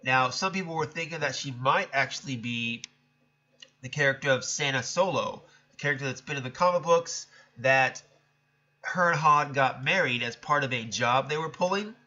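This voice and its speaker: A man talks through his thoughts in a loose, rambling way, often stopping to correct himself. His voice is bright and forceful, and a cold leaves it stuffed up and nasal. The sound is dull and lo-fi. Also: medium pitch, moderate pace. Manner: chatty, rambling, self-correcting. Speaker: podcast host. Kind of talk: casual solo commentary.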